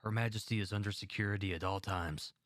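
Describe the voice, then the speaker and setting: A man's voice: fairly low pitch, fairly even intonation, fast, a bit bright, no echo, clean studio sound, lightly acted. A man playing a dutiful royal guard in an audio drama, speaking formally and deferentially. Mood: dutiful, apologetic.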